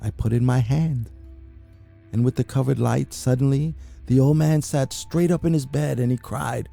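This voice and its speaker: A man giving a dramatic reading of a classic horror story, brooding and intense, with sudden hushed drops and rising outbursts. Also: medium pitch, fairly even intonation, moderate pace, creaky, faint music behind. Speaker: reader.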